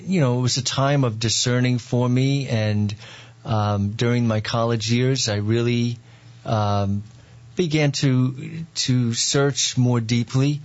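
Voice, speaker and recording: A man with a New York accent, a priest, telling his story in an interview with many pauses and fillers. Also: medium pitch, fairly even intonation, dull audio. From Long Island, New York. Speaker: priest, guest.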